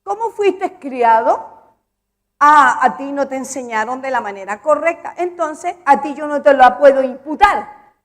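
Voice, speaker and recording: Woman preacher with a high voice, emphatic and repetitive, asks the congregation questions. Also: animated, measured pace. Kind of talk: sermon.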